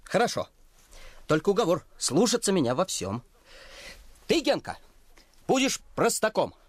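A man's voice: high-pitched, wildly swooping pitch, unhurried, dry recording, exaggerated voice. A man in a radio play voicing a conceited, lanky teenage boy who speaks pompously, like a self-styled artist. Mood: bossy. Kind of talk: Russian radio play acting.

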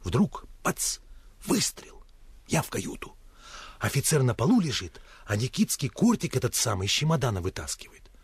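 An actor playing a seasoned, fatherly military commander, talking in a confiding, measured way in a radio drama. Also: mid-range voice, sing-song, breathy edge, no echo. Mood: confiding, grave. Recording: radio play with music underneath.

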